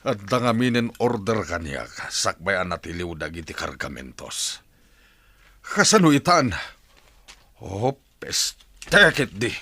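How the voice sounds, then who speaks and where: A man plays a hot-tempered village crook in a radio drama. His voice is low and forceful, swinging widely in pitch as he grumbles and curses. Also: big pitch swings, a little slow, full character voice. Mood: bitter, frustrated. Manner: exasperated, grumbling, cursing. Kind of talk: Ilocano radio drama acting.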